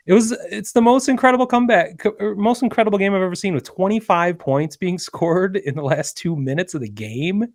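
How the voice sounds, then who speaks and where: A man in his forties hosting a podcast, talking in an earnest, halting way with many restarts. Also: medium pitch, a little mellow, no echo, clean studio sound. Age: 40s. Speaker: podcast host. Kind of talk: casual podcast chat.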